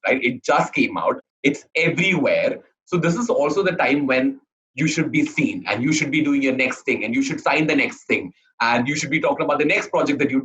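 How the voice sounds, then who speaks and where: A man from India hosting a casual interview, eager and chatty, quick to affirm and pile on follow-up questions. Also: mid-range voice, fairly bright tone. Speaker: interview host. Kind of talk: casual interview over video call. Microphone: video call. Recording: dull lo-fi video call audio.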